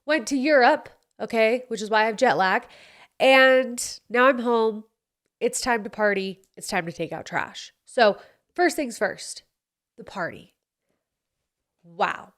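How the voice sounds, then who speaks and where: A woman's voice: fairly high pitch, unhurried, fairly bright tone. Congested chatty young woman podcaster. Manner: chatty, candid, playful. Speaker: podcast host. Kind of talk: casual solo podcast chat. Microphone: studio mic.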